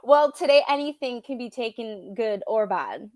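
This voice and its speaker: A woman's voice: on the high side, animated, normal speed, no room sound. A French Canadian woman whose first language is French, co-hosting a live stream in casual chat, chatty and laughing easily as she hunts for words. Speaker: co-host of a homestead live stream.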